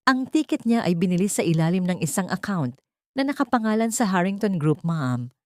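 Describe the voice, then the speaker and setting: A woman's voice: on the low side, very animated, fairly quick, darkish tone, no echo, studio quality. A woman voicing a serious, respectful private investigator in an audio drama. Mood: grave. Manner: serious, deferential. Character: serious, deferential private investigator reporting bad news.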